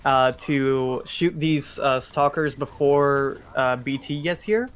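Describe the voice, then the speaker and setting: A man's voice: mid-range voice, takes it slowly, clear, resonant tone. A man giving casual live commentary on a speedrun, explaining as he goes in a hesitant, restarting way.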